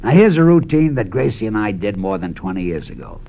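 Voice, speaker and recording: A man's voice: lowish voice, big pitch swings, a little fast, dark tone. A man recalling his early career in a dry, wry storytelling manner before introducing an old routine.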